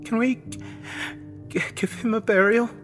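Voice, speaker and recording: Man acting a distraught young woman, pitched high. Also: a bit bright. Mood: broken, subdued. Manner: halting, pleading. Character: distraught young daughter begging for her dying father. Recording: music bed under the voice.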